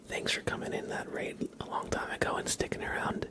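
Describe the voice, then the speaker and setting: Whispering male ASMR streamer. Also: brisk. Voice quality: breathy, mostly whispered. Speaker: ASMR livestream host. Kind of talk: ASMR, casual chat with livestream viewers.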